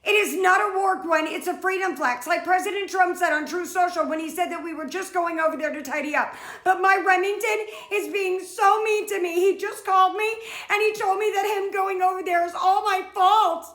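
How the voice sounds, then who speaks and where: Woman acting shrill, hysterical, whiny mother. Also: steady tone, moderate pace, a bit bright, echoey room. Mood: panicked, defensive. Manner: wailing, pleading, indignant. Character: hysterical, whiny, gullible middle-aged mother in denial. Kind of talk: comedy skit dialogue.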